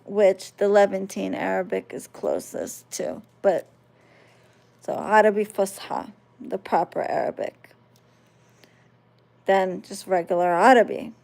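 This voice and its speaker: American woman just talking to her viewers, slow and kinda gravelly, keeps asking if it makes sense. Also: mid-range voice, big pitch swings, a little mellow, rough recording. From United States. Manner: conversational, checks listeners understand. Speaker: spiritual channel host. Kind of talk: casual talk to viewers.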